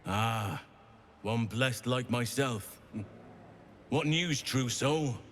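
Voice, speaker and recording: A man's voice: mid-range voice, drawn-out words, a bit bright, slight background noise. An actor playing a fervent male cult follower who speaks in a reverent, zealous way.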